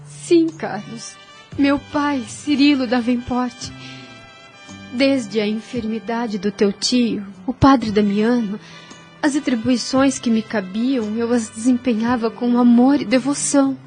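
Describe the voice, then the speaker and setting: A woman playing a gentle, devout young woman in an audio drama, tender and solemn, with a Brazilian accent. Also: fairly high pitch, slow pace, soft background music. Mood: earnest, sorrowful. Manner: tender, solemn, formal phrasing. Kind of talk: radio drama acting.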